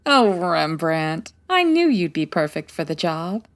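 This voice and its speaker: A woman's voice: on the low side, sing-song, measured pace. A woman playing a poised, wry 1940s private detective in a comedy audio drama, articulate and dry-witted. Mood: wry, fond.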